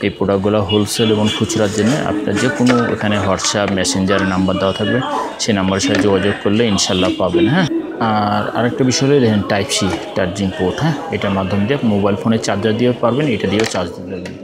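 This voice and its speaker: A man's voice: on the low side, very fast. A man with a Bangladeshi accent giving an unscripted product demonstration as a shop seller, repeating himself and often checking in with the listener.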